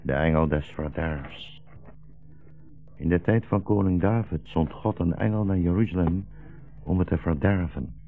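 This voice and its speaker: A dignified old rabbi in a 1960s radio play. His voice is very low and his phrasing measured and formal, with protective earnestness. The sound is narrow and dull, like an old broadcast. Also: faint music behind. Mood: gentle, solemn. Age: older.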